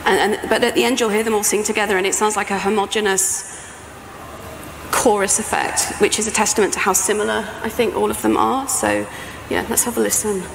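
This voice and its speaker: British woman, middle-aged, chatty presenter with some creak in her voice, reverberant hall with faint noise. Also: medium pitch, level tone, fairly quick. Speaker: composer and sound art professor presenting. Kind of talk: informal conference talk. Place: stage in a large presentation tent or hall. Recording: echoey hall with background noise.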